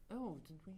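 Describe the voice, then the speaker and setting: A woman's voice: mellow, a little hiss or hum. A woman playing a prim, inquisitive tavern guest.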